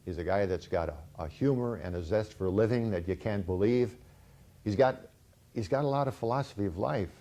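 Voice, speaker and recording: Low, mellow-voiced male host, friendly and easygoing. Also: brisk. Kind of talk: TV show hosting and interviewing.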